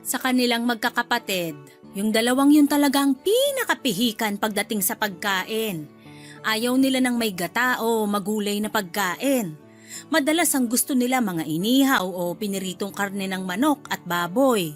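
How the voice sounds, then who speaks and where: A woman's voice: fairly high pitch, animated, moderate pace, faint music behind, close to an everyday voice. A woman playing a chatty, bluntly nosy middle-aged mother-in-law in a Filipino radio drama, with a Filipino accent. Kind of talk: Tagalog radio drama acting.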